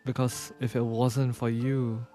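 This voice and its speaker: Guy streamer reacting to a game, mid pitch, earnest, repeats himself, faint music behind. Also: little pitch movement, warm tone. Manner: earnest, sympathetic, halting repeats. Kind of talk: casual game playthrough commentary.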